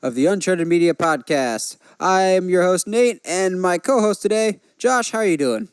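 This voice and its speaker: A man's voice: high-pitched, expressive, normal speed, clean tone, dry recording, studio quality. A man hosting a podcast in casual, enthusiastic, conversational chat. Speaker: podcast host.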